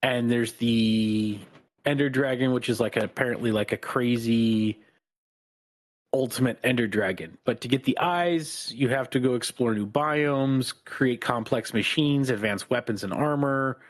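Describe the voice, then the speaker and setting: Calm, relaxed man chatting casually. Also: medium pitch, measured pace. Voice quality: not raspy. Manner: calm, even-tempered talk. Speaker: podcast co-host. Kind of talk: casual chat.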